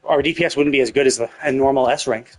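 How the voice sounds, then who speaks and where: A man's voice: mid-range voice, fairly even intonation, fast talker, rough recording. A man giving casual livestream commentary on games, explaining in a rambling way and often rephrasing himself. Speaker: video game livestreamer.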